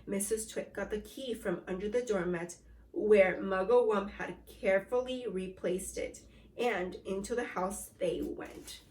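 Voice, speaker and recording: A woman teacher reading a storybook aloud to young children, with animated call-and-response prompts. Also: medium pitch, measured pace, reverberant, poor audio. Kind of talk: read-aloud lesson for children.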